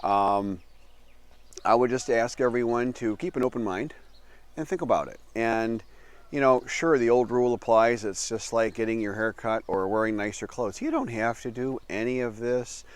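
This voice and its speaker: A man's voice: mid-range voice, moderate pace, smooth. An older man from the Midwestern US talking casually to camera, rambling, correcting himself and chuckling as he goes, outdoors under a metal roof. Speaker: vlogger living abroad.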